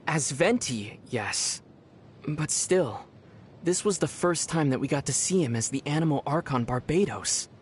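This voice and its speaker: A man playing a young adventurer hero in a video game, with a youthful voice and a measured, thoughtful, gently wry manner. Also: on the high side, animated, slight background noise. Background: music.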